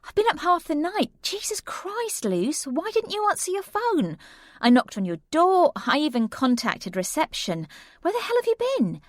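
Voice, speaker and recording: A woman voicing an exasperated, bossy young woman friend, sharp and scolding. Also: mid-range voice, a little fast, no room sound, studio quality, close to an everyday voice. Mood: angry.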